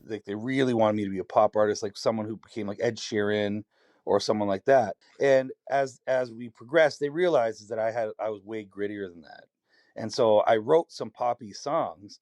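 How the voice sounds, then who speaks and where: Raspy canadian guy, lowish voice, rambles and restarts a lot, dry close sound. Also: darkish tone, dry recording. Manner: candid, rambling storytelling with many restarts. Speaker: singer-songwriter guest. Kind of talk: podcast conversation.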